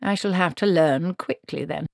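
A woman's voice: low-pitched, normal speed, gravelly, no echo, clean studio sound. Woman putting on a stubborn, bossy old witch. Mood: determined. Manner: blunt, folksy commanding speech.

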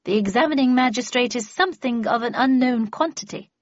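A woman's voice: on the high side, brisk, dry recording, highs cut off, voice pushed up, natural voice. A woman voicing an imperious, irritable older woman mentor with a brusque, scornful, commanding manner in an audiobook. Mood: dark muttering.

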